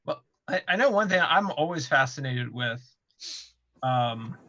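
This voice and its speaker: Man, bright tense voice, casual panel talk, explanatory, thinking aloud, lo-fi dull recording. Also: medium pitch, lively intonation, a little slow, no room sound. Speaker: game developer on a panel.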